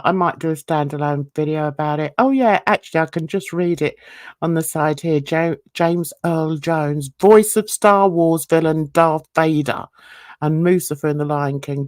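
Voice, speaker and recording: A woman's voice: low-pitched, a little mellow, no echo, clean studio sound. A woman hosting a casual livestream, rambling with many fillers, a bit under the weather with a cold. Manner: chatty, rambling, frequent fillers. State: coughing and sneezing. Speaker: livestream host.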